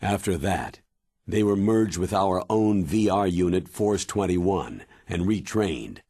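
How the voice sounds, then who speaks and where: Deep, husky military commander. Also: male voice, animated, slow pace, dark tone, no room sound, studio quality, heavily acted. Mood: serious briefing. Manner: grave, authoritative, explanatory. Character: stern male military commander giving a briefing. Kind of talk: dramatic video game cutscene acting.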